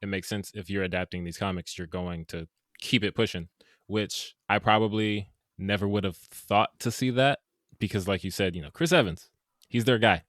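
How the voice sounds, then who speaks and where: A fairly low male voice on a casual movie podcast, recorded close and dry. He talks in a relaxed, easygoing way and laughs often. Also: normal speed, no room sound. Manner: laid-back, laughs easily. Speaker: podcast co-host. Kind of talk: casual movie review chat.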